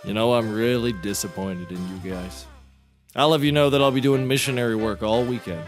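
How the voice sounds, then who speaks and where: A man's voice: mid-range voice, steady tone, fairly quick, faint music behind, lightly acted. A man playing a crude, skirt-chasing coworker with a casual, wisecracking manner in a scripted comedy. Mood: smirking.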